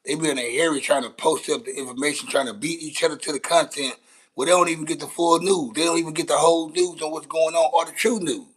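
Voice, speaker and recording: Blunt, slangy American man, slightly high-pitched. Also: fairly even intonation, quick pace, breathy edge, rough recording. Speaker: content creator reacting to news clips. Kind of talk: casual livestream commentary on street news.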